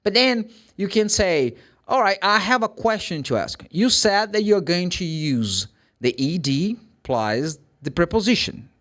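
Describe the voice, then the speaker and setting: A man with a Brazilian accent and Portuguese as his first language gives an informal English pronunciation lesson. His voice sits a little high and moves up and down in a very lively way. He speaks emphatically, posing questions and answering them himself like a classroom teacher. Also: measured pace. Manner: emphatic, asks and answers own questions, acts out short examples.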